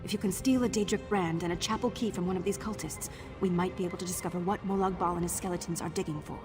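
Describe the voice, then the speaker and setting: An actress playing a wry, morbidly curious young investigator in a video game, with a dry, understated and slightly awkward manner. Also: female voice, on the low side, steady tone, fast talker, music in the background, close to an everyday voice. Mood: intent, matter-of-fact.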